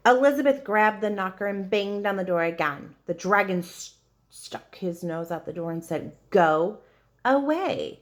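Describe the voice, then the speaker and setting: A woman narrating a picture book for children as an expressive storyteller. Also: medium pitch, moderate pace.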